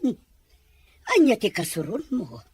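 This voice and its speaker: A woman's voice: medium pitch, wildly swooping pitch, a little slow, a little mellow, husky, no echo, heavily acted. A woman playing an inquisitive middle-aged mother in a radio serial. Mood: questioning. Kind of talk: Philippine radio serial acting.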